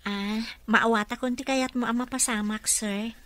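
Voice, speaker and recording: An actress with an Ilocano accent playing a polite, hesitant nurse and assistant in a radio drama. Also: female voice, on the high side, steady tone, normal speed, fairly bright tone, close to an everyday voice. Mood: hesitant, deferential. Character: deferential, hesitant young female nurse and assistant.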